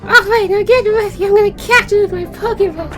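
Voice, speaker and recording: A woman voicing a bossy, hot-tempered girl trainer in an improvised cartoon fandub, shrill and scolding. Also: brisk, over music. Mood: excited.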